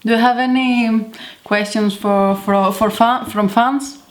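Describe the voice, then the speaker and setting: Slow-talking Greek-accented woman. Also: a little high, steady tone. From Greece. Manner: chatty, halting, searches for words. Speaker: home cook. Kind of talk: casual cooking video.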